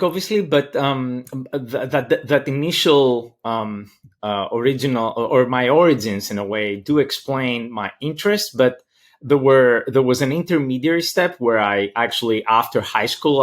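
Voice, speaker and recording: A man's voice: medium pitch, fairly bright tone. Hesitant Greek-accented man, academic guest. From Greece. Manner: hesitant, halting, searching for words. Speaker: interview guest, political science professor. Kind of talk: thoughtful academic interview answers.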